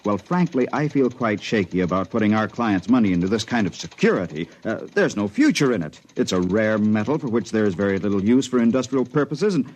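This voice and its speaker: An actor playing a proper, disapproving stockbroker, polite and hesitant, in 1950s radio drama style. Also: male voice, on the low side, animated, a little fast, hardly any pauses, a little mellow. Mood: reluctant disapproval. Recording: muffled 1950s radio recording.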